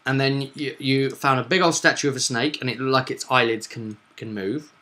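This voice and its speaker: Male game master for a recap vidcast; forceful, bright tone, theatrical pauses, conversational. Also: medium pitch, fairly even intonation. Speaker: host and game master recapping a tabletop session. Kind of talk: casual recap discussion of a tabletop roleplaying game.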